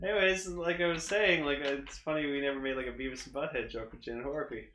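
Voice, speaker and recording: A man co-hosting a casual podcast, excitable and profane, rambling with lots of fillers. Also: a little high, fast, echoey room. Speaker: podcast co-host. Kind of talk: casual chat.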